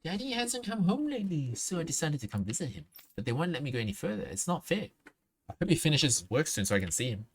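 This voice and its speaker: A plaintive little game-character girl, put on by a man, with a raised, childlike, pouting delivery. There is clear noise behind the voice. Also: fairly high pitch, sing-song, quick pace, pitched above the performer's own voice. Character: little girl, plaintive, missing her daddy. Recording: clearly noisy mic with clicks.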